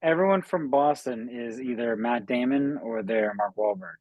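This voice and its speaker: A man's voice: medium pitch, moderate pace, no room sound, muffled recording. Laid-back podcast guy, dry sound. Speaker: podcast co-host. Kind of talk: casual podcast banter.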